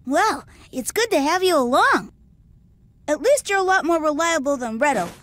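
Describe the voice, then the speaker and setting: A woman's voice: sits high, animated, normal speed, fairly bright tone. A woman doing a spunky, grumbling young boy. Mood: indignant. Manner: blunt and grumbling. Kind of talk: video game cutscene voice acting.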